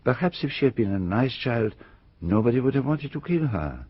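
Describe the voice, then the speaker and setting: An actor with a French-speaking Belgian accent playing a courteous, formal detective who probes deliberately in a radio mystery. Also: male voice, mid-range voice, sing-song, husky, dry recording. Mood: insistent. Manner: formal, deliberate, politely insistent. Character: courteous, probing middle-aged Belgian detective. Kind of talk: radio mystery drama acting. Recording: dull, muffled radio drama recording.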